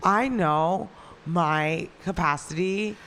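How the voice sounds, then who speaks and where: A woman's voice: fairly low pitch, slow pace, a bit bright, slight background noise. A young woman co-hosting a radio show, chatting casually and gossiping with laughs. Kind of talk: casual chat.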